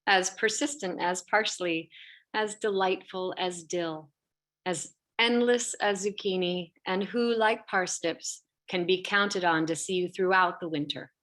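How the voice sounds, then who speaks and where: A woman's voice: mid-range voice, fairly bright tone. A woman reciting a list-like poem over a video call. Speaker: theatre company guest. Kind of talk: poetry reading.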